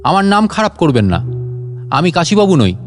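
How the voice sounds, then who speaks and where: A man's voice: sits high, sing-song, normal speed, faint music behind, pitched above the performer's own voice. A man doing a stern, boastful burglar. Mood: indignant. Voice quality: stern voice, hacking cough. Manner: scolding, bossy, threatening. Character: boastful, irritable male burglar mistaken for a ghost.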